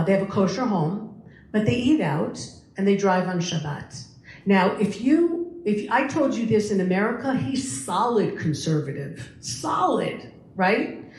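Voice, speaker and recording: A woman's voice: medium pitch, a little mellow. A middle-aged or older woman giving a conversational public lecture, explaining her opinions and repeating words for emphasis. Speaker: guest speaker. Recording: echoey room with faint background noise.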